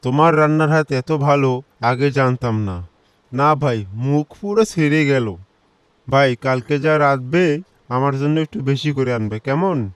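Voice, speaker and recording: A man playing a chummy, food-loving office colleague in an animated folk tale. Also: mid-range voice, unhurried, smooth, no room sound, lightly acted. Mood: chummy, impressed.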